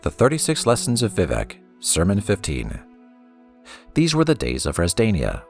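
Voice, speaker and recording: Very deep, gravelly man reading aloud. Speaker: Let's Play host reading game books aloud. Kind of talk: storytime reading and casual game commentary.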